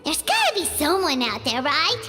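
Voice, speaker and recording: A woman voicing a tiny, excitable, childlike fairy companion in a video game, squeaky and chirpy. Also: moderate pace, long reverb tail, soft background music, full character voice. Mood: nervous. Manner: speaks of self in third person, chirpy. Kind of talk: scripted video game voice acting.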